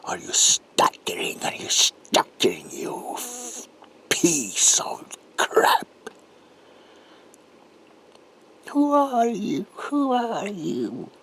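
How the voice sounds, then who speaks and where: A man playing a sadistic, gloating monstrous Victorian villain in a horror ASMR roleplay, with a whispery rasp and a slow, menacing, theatrical delivery. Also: sits high, huge pitch swings, sharp tone, exaggerated voice. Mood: furious taunting. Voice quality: whispery, creaky, breathy rasp.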